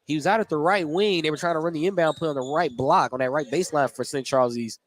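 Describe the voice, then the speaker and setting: A man's voice: fairly high pitch, fast talker. A man doing live radio play-by-play of a basketball game, describing the action in short running phrases on a headset mic. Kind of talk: live radio sports commentary. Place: indoor basketball gym. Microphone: headset microphone. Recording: gym noise behind headset commentary.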